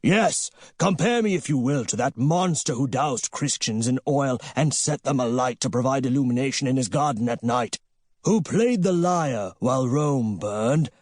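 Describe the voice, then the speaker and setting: A man's voice: mid-range voice, expressive, moderate pace, darkish tone, dry recording, clean studio sound, voice pushed up, lightly acted. A man voicing a grandiose, defiant old tycoon in an audiobook, with declamatory, theatrical delivery. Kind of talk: audiobook character voice.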